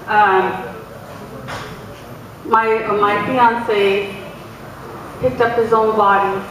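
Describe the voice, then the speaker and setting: A young adult woman giving a halting, emotional speech to a gathering, with many pauses and restarts and a loud voice. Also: mid-range voice. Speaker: guest speaker, community organizer. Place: large echoey hall. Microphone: far from the mic. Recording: echoey hall from far off, noisy.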